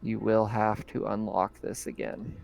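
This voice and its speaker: Gravelly-voiced male game master. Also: on the low side, level tone, darkish tone. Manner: casual, with fillers and quick reactions. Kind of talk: narrating a tabletop game over voice chat.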